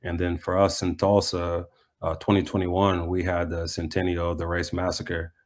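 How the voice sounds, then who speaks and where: Low, even-toned man in casual interview. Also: moderate pace. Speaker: soccer coach and former pro player being interviewed. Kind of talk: casual reflective interview answers.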